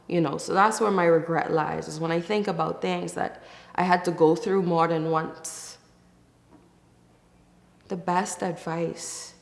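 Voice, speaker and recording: Woman, from the Bahamas, lowish voice, casual and reflective, candid, pausing to think, echoey recording. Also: vocal fry. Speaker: interview guest, musician. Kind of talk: casual reflective interview answers. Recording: echoey, reverberant room.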